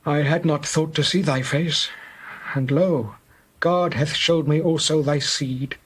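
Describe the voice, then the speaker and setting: A frail old Hebrew father near death, played by a man in an audio scripture drama. His voice is raspy and sits fairly high. He speaks gravely and deliberately, with solemn tenderness. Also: fairly even intonation, poor audio. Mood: tender blessing. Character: aged, dying Hebrew patriarch, solemn and tender.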